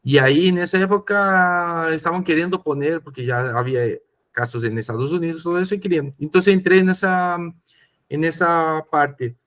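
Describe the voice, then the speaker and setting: A man's voice: a little high, normal speed, fairly bright tone. A man from Chile who grew up in Brazil, telling his career story in a casual podcast chat, rambling and repeating words. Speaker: podcast host.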